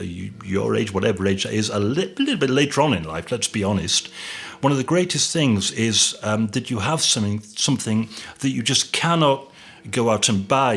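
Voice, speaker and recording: A middle-aged man from the north of England speaks in a fairly low voice. He chats casually and at length, often restarting his sentences and making light of himself. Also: a bit bright. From Yorkshire, England. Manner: chatty, rambling, self-deprecating with frequent restarts. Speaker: guest drummer and music teacher. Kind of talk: casual interview chat. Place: at home on a sofa.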